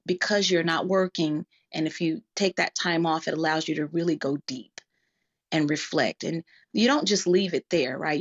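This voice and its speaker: Woman kinda low voice explaining stuff earnestly in a group talk, muffled lofi audio. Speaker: guest speaker in a group discussion.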